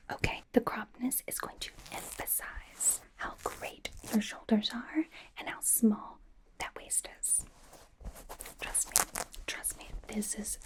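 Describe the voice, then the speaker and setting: Casting: woman as bubbly young female K-pop idol, slow breathy whisper, gushing personal stylist for ASMR roleplay. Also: medium pitch, close to an everyday voice, poor audio. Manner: gushing, reassuring, chatty with trailing repeats.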